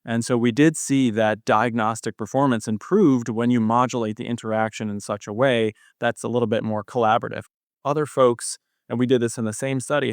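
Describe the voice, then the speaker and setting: A man's voice: medium pitch, no echo, clean studio sound. A man speaking as an expert guest in an interview, explaining things conversationally with technical jargon. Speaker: guest physician researcher.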